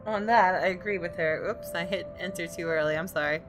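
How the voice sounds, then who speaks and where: Casting: female gaming host reading story narration, slightly low voice, clear game music behind her. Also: fairly quick. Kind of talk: reading visual novel narration aloud with casual asides.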